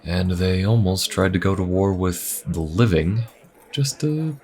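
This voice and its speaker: Man acting a very deep-voiced, wry fantasy adventurer. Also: darkish tone. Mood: musing, mildly disgusted. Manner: casual, dry, musing, with sighs and hesitant pauses. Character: wry, weary male Inquisition agent, a traveling adventurer. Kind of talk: fantasy audio roleplay, conversational monologue to the listener.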